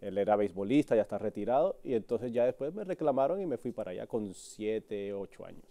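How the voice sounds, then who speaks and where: A man's voice: on the low side, lively intonation, fairly quick, vocal fry, warm tone. A man from Cuba with a Mexican accent, talking candidly as a guest in an interview. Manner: candid, speaks in short broken phrases. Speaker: sports reporter, interview guest. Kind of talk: casual interview conversation.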